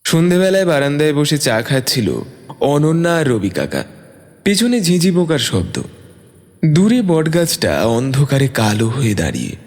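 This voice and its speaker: A man's voice: a little high, very animated, moderate pace, a little mellow. A man narrating a scripted dramatic story as a storyteller. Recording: long reverb, music bed. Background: music.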